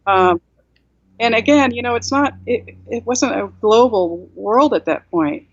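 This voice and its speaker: A woman's voice: fairly high pitch, unhurried, dry recording. Reflective woman in her sixties, video call. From South Korea. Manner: reflective, talkative, many you-knows and restarts. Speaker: guest author. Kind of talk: casual interview on a video call. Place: home room indoors. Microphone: laptop webcam mic on a video call. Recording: dull, dry video-call audio.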